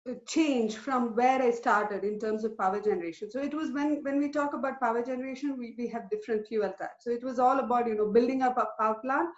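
A woman's voice: on the high side, steady tone, fast, few pauses. A woman from India, a company vice president, answering interview questions at home over a video call, reflective and full of "you know" fillers. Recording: roomy, dull lo-fi video call audio.

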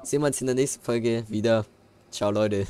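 A man's voice: mid-range voice, fairly quick, clean tone. A man hosting a farming game let's play, chatting casually and slangily with friends. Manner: relaxed, slangy, signs off the episode. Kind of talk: casual gaming chat with friends.

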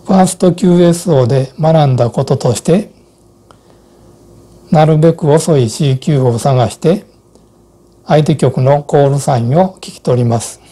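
A man in his sixties reading a scripted account and instructions in a measured, formal way. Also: fairly high pitch, warm tone. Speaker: co-host of an amateur radio Morse code channel. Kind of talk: scripted personal narration and instruction.

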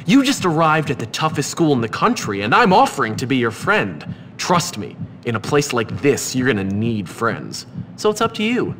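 A man's voice: medium pitch, wildly swooping pitch, normal speed, fairly bright tone, slight background noise. An actor playing a manipulative, mocking teenage boy in a video game, with sarcastic, theatrical, mock-friendly delivery. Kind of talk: video game cutscene acting.

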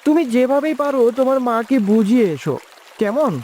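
A man's voice: very high voice, fairly quick, a little mellow, a little hiss or hum. A man voicing an earnest, affectionate young prince in a fairy-tale cartoon, speaking tenderly. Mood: gently pleading. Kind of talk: cartoon voice acting. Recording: clear music bed behind.

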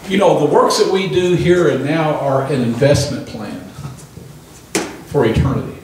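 A man's voice: fairly high pitch, moderate pace, darkish tone, a little hiss or hum. Older man preaching in an echoey hall. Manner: emphatic preaching with call-and-response amens. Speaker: pastor. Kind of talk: sermon. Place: small church hall, indoors. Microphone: handheld microphone. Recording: echoey room from a distant camera.

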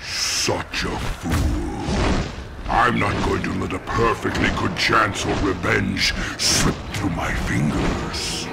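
An actor playing a vengeful, gloating demonic ninja warlord in a video game, with a gravelly, menacing, drawn-out delivery. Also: male voice, sits low, expressive, heavily acted. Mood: gloating menace. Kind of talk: video game villain acting. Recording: loud music behind the voice.

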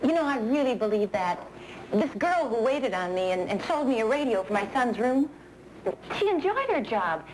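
An actress playing an earnest, courteous American fast-food worker in a scripted 1970s training film. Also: female voice, a little high, sing-song, a little hiss or hum, rough recording. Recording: 1970s film soundtrack, music behind.

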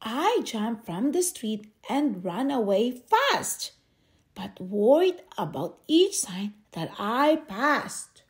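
A woman reading a children's picture book aloud expressively, with theatrical, drawn-out emphasis on key words. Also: a little high, big pitch swings. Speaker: storybook reader.

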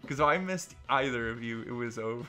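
A young adult man hosting a gaming video with casual, jokey, excitable banter. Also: mid-range voice, fairly quick, soft background music.

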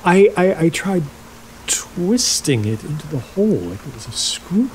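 Halting, lonely middle-aged man, noisy backdrop. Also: on the high side, very animated, darkish tone. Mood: agitated amusement. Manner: halting, repeats himself, oversharing. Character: lonely, awkward, unsettlingly chatty middle-aged male rental host. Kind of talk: naturalistic audio drama acting.

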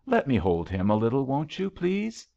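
A man's voice: mid-range voice, wildly swooping pitch, moderate pace, gravelly, warm tone, pitched above the performer's own voice. A man voicing a spirited, stubborn young woman in an audiobook love story, eager and direct. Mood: tender, eager. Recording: slightly dull, lo-fi audiobook recording.

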